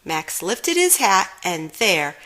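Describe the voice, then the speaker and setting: A woman's voice: lowish voice, big pitch swings, slow pace, sharp tone. A woman reading a children's storybook aloud expressively, giving dramatic emphasis to the sound words. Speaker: storybook reader.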